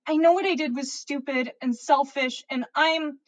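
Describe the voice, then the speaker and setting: A woman acts out a nervous teenage witch from a storybook. The girl has a high, vulnerable delivery, halting and self-blaming, as someone helplessly lovesick. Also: natural voice. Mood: miserable, nervous. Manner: halting, miserable, breathy confessions.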